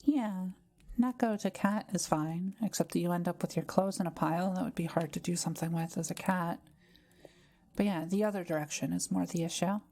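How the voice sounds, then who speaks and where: A woman voicing a kind, calm, scholarly forest witch in an intimate audio roleplay, explaining things in a gentle, measured way. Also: on the low side. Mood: gentle, considering.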